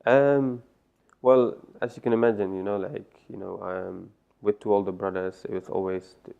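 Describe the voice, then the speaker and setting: Guy, super low gravelly voice, kinda hesitant, muffled recording. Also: steady tone, moderate pace. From Afghanistan. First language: Farsi. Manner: hesitant, many fillers and restarts. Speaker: interview guest. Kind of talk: personal story interview. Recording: muffled lo-fi sound.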